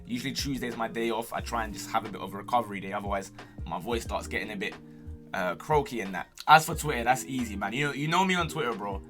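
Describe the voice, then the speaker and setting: A man's voice: on the low side, fast talker. A young man with a London accent chatting casually as a streamer, full of slang and cocky, playful banter. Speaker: streamer and gamer answering viewer questions. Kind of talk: casual chat to camera. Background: music.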